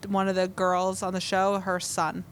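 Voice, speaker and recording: A middle-aged woman from New Jersey with a New Jersey accent, co-hosting a podcast in casual chat, telling stories with animated emphasis. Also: mid-range voice, normal speed, slight background noise. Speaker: podcast co-host.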